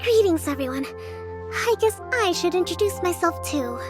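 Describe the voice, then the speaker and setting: A woman playing a gentle young priestess and strategist in a game, soft-spoken, gracious and modest. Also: extremely high pitch, expressive, normal speed, over music. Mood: sheepish.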